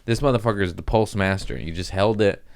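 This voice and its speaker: A man's voice: fairly low pitch, fast, gravelly. A man hosting a casual comic podcast, telling rambling stories in slangy, profanity-heavy talk. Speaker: podcast host.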